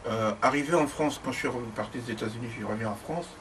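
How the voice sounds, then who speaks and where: A man's voice: fast, noisy background. A man from the DR Congo in a casual conversation who talks emphatically and bursts into exclamations. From Democratic Republic of the Congo. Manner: emphatic, exclamatory, jumps high when excited. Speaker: conversation partner. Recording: distant mic with faint ambience.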